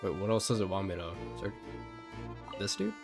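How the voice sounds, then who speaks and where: A man's voice: low-pitched, sing-song, moderate pace, vocal fry. A man streaming a game with casual commentary, making informal, offhand muttered reactions. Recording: loud game music behind voice. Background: game music.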